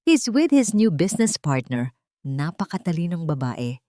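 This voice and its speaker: A woman's voice: lowish voice, very animated, moderate pace, dark tone, dry recording. Haughty elderly matriarch for audio drama, played by a female narrator: smug, sugary false concern, pointed delivery, dry close sound. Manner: pointed emphasis, feigned concern. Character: haughty old rich mother-in-law, falsely sweet, gloating.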